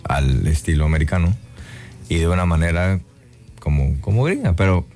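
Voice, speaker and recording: A man's voice: sits low, lively intonation, normal speed, a little mellow, faint music behind. A man from Guatemala, a singer chatting in an interview, thoughtful and full of "eh" fillers and tag questions. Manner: reflective, many "eh" fillers and tag "¿no?". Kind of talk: casual interview chat.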